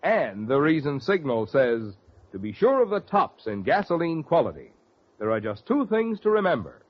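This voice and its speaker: A male radio announcer delivering a polished, emphatic commercial pitch in 1940s style. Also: very animated, normal speed. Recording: dull vintage radio recording.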